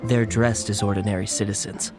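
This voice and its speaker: A man as a steady dutiful guard. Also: fairly low pitch, brisk, natural voice. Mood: calm, assured. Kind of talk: video game voice acting. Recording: game music behind.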